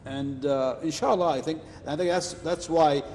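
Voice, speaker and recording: A man hosting a live religious discussion panel, speaking in a measured way and slowing down to stress his points, with Arabic phrases mixed in. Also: fairly high pitch, a little mellow, big-room sound, a little hiss or hum.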